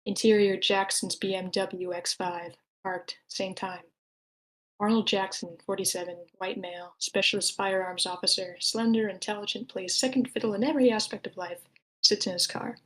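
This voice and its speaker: Woman narrating screenplay action, mid-pitched. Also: steady tone, moderate pace, poor audio. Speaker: narrator reading stage directions.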